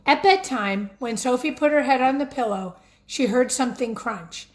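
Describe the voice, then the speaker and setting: A woman teacher reading a picture book aloud expressively, pausing to put questions directly to young listeners. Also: high-pitched. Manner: expressive, addresses children directly.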